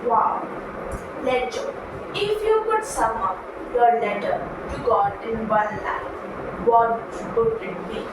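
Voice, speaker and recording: Woman, puppet show interviewer, high voice, recited delivery, cavernous echo, noisy distant mic. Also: expressive, takes it slowly.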